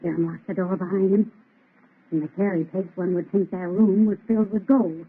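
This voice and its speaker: An actress playing a scolding, anxious housekeeper to a scientist in an old radio drama, fretting theatrically. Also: female voice, mid-range voice, fairly even intonation, brisk, a little hiss or hum, close to an everyday voice. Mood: worried, fearful. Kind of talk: 1930s radio drama acting. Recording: tinny, muffled old radio recording.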